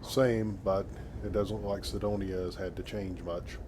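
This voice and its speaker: Low-voiced man as a calm space adventurer. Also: moderate pace, noisy background. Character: calm, courteous male space adventurer and pilot. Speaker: gamer voice-acting his player character. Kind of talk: scripted game role-play dialogue.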